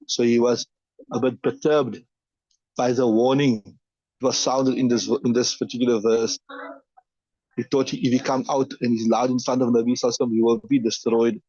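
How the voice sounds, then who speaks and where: A man teaching a hadith class at a brisk, rapid pace. He reads each line and then explains it plainly. The sound is dry and close, but dull and muffled. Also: mid-range voice, little pitch movement, darkish tone, breathy edge, no room sound.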